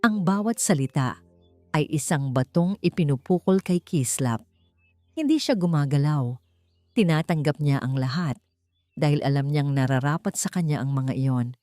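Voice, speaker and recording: A woman's voice: sits low, lively intonation, normal speed, a little mellow, dry recording, soft background music. A woman with a Filipino accent narrating a scripted story in an emotive, theatrical way, as an audiobook narrator.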